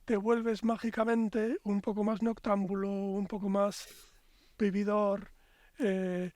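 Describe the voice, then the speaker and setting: A Spanish man with a fairly high voice who spins long, reflective arguments in a relaxed podcast chat. His voice sits close and dry, with hardly any room sound. He strings his thoughts together in flowing, expansive sentences. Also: takes it slowly, no room sound. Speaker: podcast guest. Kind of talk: casual podcast conversation.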